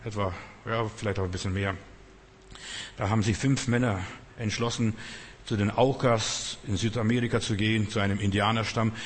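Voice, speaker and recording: A man's voice: fairly low pitch, fairly even intonation, moderate pace, long reverb tail, a little hiss or hum. A man preaching off the cuff as a non-native speaker, emphatically repeating phrases and addressing his listeners directly. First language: not German. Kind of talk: extemporaneous sermon.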